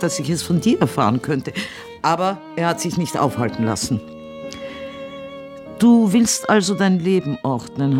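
An old matriarch with hugely varied, swooping intonation. She is haughty and dry, firing curt, probing questions in a deliberate radio-play delivery. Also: low-pitched. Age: older. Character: imperious old Viennese mother, dry and sharp-tongued. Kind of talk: radio drama acting.

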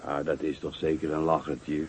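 A man's voice: rumbling low, little pitch movement, moderate pace, a little mellow, slightly rough. A sleepy, dim young man. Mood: drowsy. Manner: drowsy, startled, stammering. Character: sleepy, modest, simple-minded young man. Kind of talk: radio drama acting.

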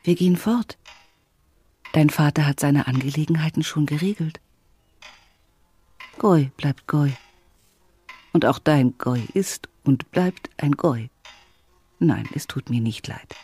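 A woman's voice: deep voice, takes it slowly, pauses often, mellow, breathy edge, soft background music, exaggerated voice. An actress playing a hopeful, anxious mother in a German radio play, soothing and insistent, sometimes dropping to a whisper. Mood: resolute. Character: hopeful, anxious wife and mother awaiting her daughter.

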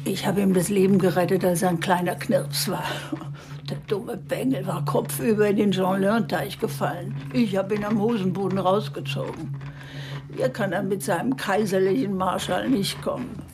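A woman's voice: medium pitch, fairly even intonation, moderate pace, noisy background, lightly acted. An actress playing an older, blunt and folksy village woman, self-assured and chatty, in a radio drama. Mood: blunt, feisty. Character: plucky old village woman, former guesthouse keeper, blunt and chatty.

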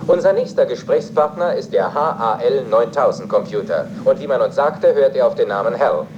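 A man's voice: mid-range voice, animated, normal speed, lightly acted. A man playing a polite, formal television interviewer in a dubbed science-fiction film. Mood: courteous, curious. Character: male television interviewer questioning spaceship crew and computer. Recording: dull film sound with clear background noise.

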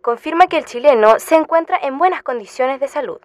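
An actress playing a formal announcer reading an official dispatch in a radio drama. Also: female voice, sits high, lively intonation, brisk. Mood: formal, factual. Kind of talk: news bulletin in radio drama. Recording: long reverb, faint noise and clicks.

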